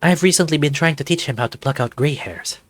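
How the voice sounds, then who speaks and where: A man's voice: a little high, quick pace, fairly bright tone, faint music behind, voice pushed up. A man voicing a proud, self-assured dragon lawyer in a visual novel game, in a composed manner. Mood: fond, chatty. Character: suave, proud male dragon lawyer in a suit.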